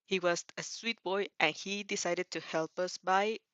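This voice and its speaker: A woman who is a non-native English speaker, hosting a casual fan fiction read-aloud with an informal, halting, giggly delivery and self-corrections. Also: on the low side, steady tone, fairly bright tone, clear, resonant tone, dry recording, highs cut off. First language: not English. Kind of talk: casual reaction chat and story reading.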